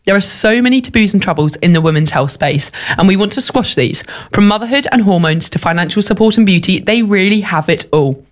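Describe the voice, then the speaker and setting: A woman's voice: medium pitch, animated, fairly quick. A young woman with a stuffy cold voice, co-hosting a talk show in casual chat, full of "like" and "um". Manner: chatty, many fillers like "like" and "um". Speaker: podcast co-host.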